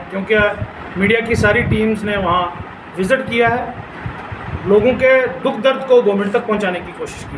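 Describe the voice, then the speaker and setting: High-pitched man making a formal appeal. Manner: earnest, deliberate, speaking in broken phrases with English terms mixed in. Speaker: forum leader addressing a press conference. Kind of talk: formal press conference appeal. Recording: echoey room with background noise.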